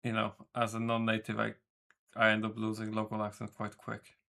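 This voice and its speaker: A man's voice: lowish voice, monotone, fairly quick, creaky, sharp tone. A man streaming as a game developer, chatting casually with his viewers in a rambling way, correcting himself and swearing offhandedly. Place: home room.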